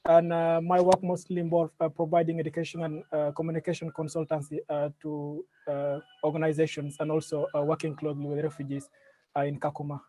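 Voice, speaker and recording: A man from South Sudan who leads a youth peace group, introducing himself quickly with frequent fillers. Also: a little high, completely flat delivery, mellow, low-quality recording. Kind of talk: self-introduction on a call.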